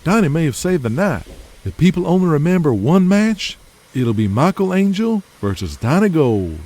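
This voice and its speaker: A man voicing an excitable, candid backstage wrestling man with slangy, animated talk in an audio drama. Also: moderate pace. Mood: impressed, defensive. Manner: slangy, animated, high-pitched. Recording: loud music behind.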